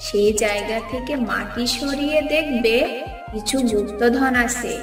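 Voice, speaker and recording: A woman voicing a benevolent magic mirror spirit in a cartoon fairy tale, giving calm, measured instructions. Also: fairly high pitch, echoey room, recorded from a distance, music in the background. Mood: calm, solemn.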